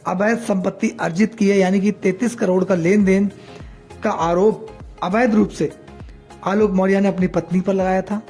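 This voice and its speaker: Male news commentator, soft dark tone, moralizing and opinionated delivery, music underneath. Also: high-pitched. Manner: moralizing, rhetorical questions. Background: background music.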